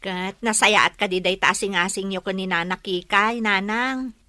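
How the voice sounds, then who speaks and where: A woman's voice: medium pitch, steady tone, normal speed, sharp tone, no room sound, studio quality, close to an everyday voice. A woman playing a sensible young daughter who questions and coaxes as a peacemaker in an Ilocano comedy radio drama. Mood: coaxing, reproving.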